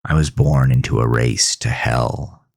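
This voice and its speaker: A man acts out a haunted, bitter farmer confessing a horror tale in an audio drama. His voice sits extremely low, with a heavy gravelly fry. He tells it slowly and gravely, brooding over each phrase. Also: steady tone, heavily acted. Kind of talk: scripted first-person horror narration.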